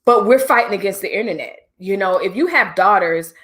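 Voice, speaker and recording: A woman's voice: medium pitch, lively intonation, fairly quick, fairly bright tone. A middle-aged woman hosting a livestream, talking casually and directly to her viewers with preachy asides. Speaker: livestream host.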